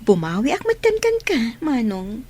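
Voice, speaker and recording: A woman's voice: fairly high pitch, wildly swooping pitch, moderate pace, a little mellow. A woman with a Filipino accent playing a devoted, earnest younger sister in a radio drama. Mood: tender, grateful. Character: devoted younger sister, a student who becomes a worker, earnest. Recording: noisy ambience, birdsong behind the voice.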